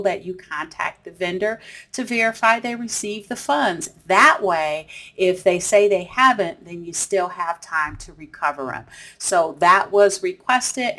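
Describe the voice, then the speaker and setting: A woman's voice: fairly low pitch, fairly bright tone. A woman hosting an instructional explainer in a conversational, explanatory manner. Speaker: host of a tips series. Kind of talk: instructional explainer for business teams.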